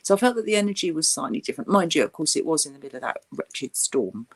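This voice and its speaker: British female co-host, casual and jokey, lowish voice, very animated intonation. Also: fast talker, vocal fry, breathy edge. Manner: playful, jokey. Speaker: co-host of an interactive chat show. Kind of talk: casual chat.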